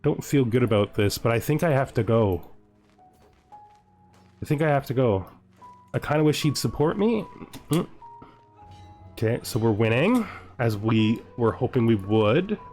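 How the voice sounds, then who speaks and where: A man's voice: mid-range voice, animated, measured pace, long pauses, a little mellow, faint music behind. Man thinking aloud over a strategy game. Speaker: gamer narrating his own playthrough. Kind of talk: casual let's-play commentary, thinking aloud.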